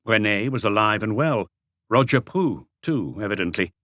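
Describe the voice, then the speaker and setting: Low-voiced male audiobook narrator, lively intonation. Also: normal speed, dry recording, studio quality. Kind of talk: scripted narration of a mystery novel.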